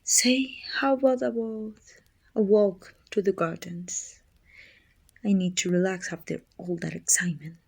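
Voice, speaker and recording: A woman playing a dominant, haughty evil queen with a soft spot, musing imperiously in an ASMR roleplay. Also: medium pitch, fairly even intonation, measured pace. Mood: relieved, grudgingly fond. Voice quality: husky, breathy with whispered edges. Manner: imperious, musing, teasing condescension. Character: dominant, haughty evil queen softening toward her little helper.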